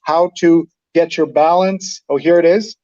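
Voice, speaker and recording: A man's voice: on the high side, fairly even intonation, measured pace, dark tone, no room sound, highs cut off. A man hosting a live read-along, chatting enthusiastically and hopping between asides as he reads out and comments. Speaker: host of a live newspaper read-along show.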